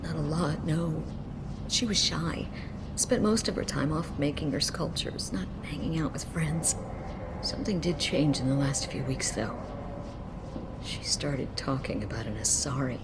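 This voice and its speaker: A grieving mother in a game drama. Her voice sits a little low and is soft and anguished, with halting delivery. Clear ambient noise runs behind her. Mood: troubled, bitter. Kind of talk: dramatic video game acting.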